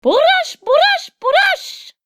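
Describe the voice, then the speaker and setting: A performer voicing a tiny, excitable, cheerful bird in a children's storybook. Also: extremely high pitch, drawn-out words, noisy background, exaggerated voice. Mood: excited.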